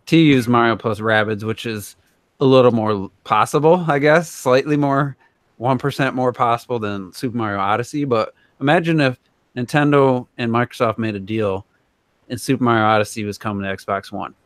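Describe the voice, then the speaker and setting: A man's voice: medium pitch, moderate pace, no echo, studio quality. A man co-hosting a podcast, talking casually and giving his opinions in a rambling, conversational way. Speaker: podcast co-host.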